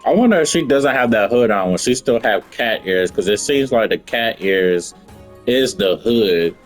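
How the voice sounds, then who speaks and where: A low-voiced streamer reacting casually to a game in an offhand, slangy way. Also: animated, normal speed, faint music behind.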